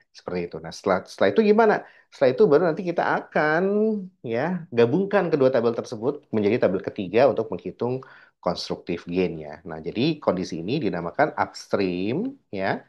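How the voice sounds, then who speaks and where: Low-voiced man lecturing, slightly muffled. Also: darkish tone. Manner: asks rhetorical questions and answers them, frequent check-in tags. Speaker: accounting lecturer. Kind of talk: informal recorded lecture, explaining a worked problem step by step.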